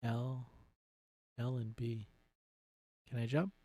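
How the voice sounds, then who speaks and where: A man's voice: mid-range voice, fairly even intonation, slow pace, dark tone, no room sound. A man giving casual, laid-back let's play commentary as he streams a video game.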